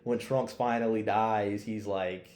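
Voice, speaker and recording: A man's voice: lowish voice, steady tone, measured pace, a little mellow. A man co-hosting a podcast, chatting casually about anime with lots of slang and heavy swearing. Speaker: podcast co-host. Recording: roomy, echoey sound.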